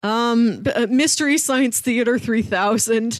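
A woman's voice: a little high, measured pace, dry recording. A woman co-hosting a review podcast, casually chatting and giving recommendations.

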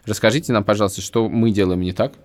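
Guy in his 30s on a podcast, talks super fast, emphatic, keeps rephrasing himself. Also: lowish voice, fairly even intonation, darkish tone. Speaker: podcast co-host. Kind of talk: casual opinionated podcast chat.

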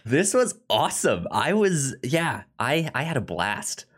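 An enthusiastic man co-hosts a casual movie review show. His pitch moves around in a lively way, and he often stammers and repeats words while he finds his thought. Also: measured pace. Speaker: podcast co-host.

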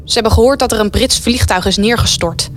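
A woman's voice: on the high side, brisk, faint music behind. A woman reading scripted narration as the storyteller of a wartime radio play.

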